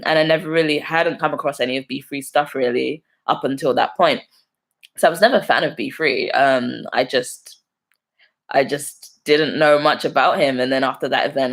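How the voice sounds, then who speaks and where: A woman's voice: sits low, vocal fry. A young woman from London with a London accent, casually chatting on a live stream, laughing often as she reads out and answers viewer comments. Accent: London English. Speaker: YouTuber hosting a live stream.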